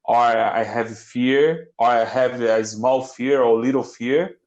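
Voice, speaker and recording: Halting Brazilian man learning English. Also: mid-range voice, steady tone, a little mellow, muffled recording. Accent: Brazilian Portuguese. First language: Portuguese. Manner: halting, searching for words, many restarts. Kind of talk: casual online lesson chat. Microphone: computer mic on a video call.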